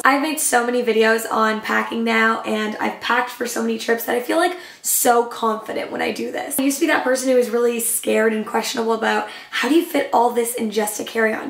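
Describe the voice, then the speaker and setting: A young woman, slightly hoarse, chatting enthusiastically as a travel vlogger showing what she packs. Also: on the high side, reverberant. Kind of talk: casual upbeat vlog talk to camera.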